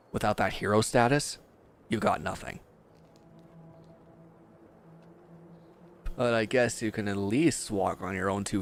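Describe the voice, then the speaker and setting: A man's voice: lowish voice, slight background noise. A man playing a bitter, proud and resentful injured villain in a dramatic audio roleplay, speaking with sardonic, confrontational edge. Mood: resentful, weary.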